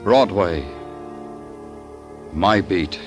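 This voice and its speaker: An actor playing a world-weary, hard-boiled American police detective in 1950s radio noir, speaking in terse, moody phrases. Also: male voice, sits low, expressive, very slow, loud music behind the voice, heavily acted. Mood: brooding, weary.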